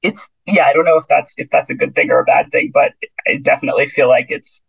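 A woman sports reporter talking in a casual interview, chatty and quick, often restarting her sentences and laughing. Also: deep voice, gravelly, slightly rough, no echo, muffled recording. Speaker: tennis and basketball beat reporter.

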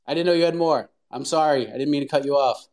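A man's voice: on the high side, fast, a little mellow. A man hosting a live call-in show, talking casually with lots of fillers. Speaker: host.

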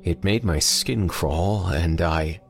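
A man voicing a terrified husband in a horror story, pleading in a quavering, faltering, breathy way. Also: low voice, slow, faint music behind, heavily acted.

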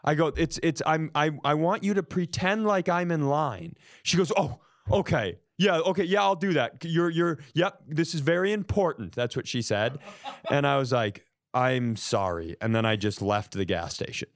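A man's voice: a little high, normal speed, no echo, studio quality. Exasperated man telling a comedic story. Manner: exasperated, profane, animated retelling with acted-out dialogue. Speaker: podcast host.

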